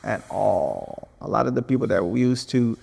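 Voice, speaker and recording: A man's voice: fairly low pitch, animated, normal speed, creaky, a little mellow, husky, big-room sound. A young man from Angola, whose first language is Portuguese, chatting earnestly in an interview and restarting his phrases. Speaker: guest artist. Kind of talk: casual interview chat.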